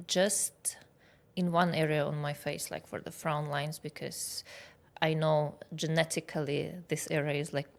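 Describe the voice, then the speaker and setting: A woman in her early thirties speaks with a Russian accent. Her voice is low for a woman, and she explains things conversationally, like a doctor being interviewed. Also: level tone, unhurried, vocal fry. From Kazakhstan. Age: 30s. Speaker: guest expert, aesthetic doctor.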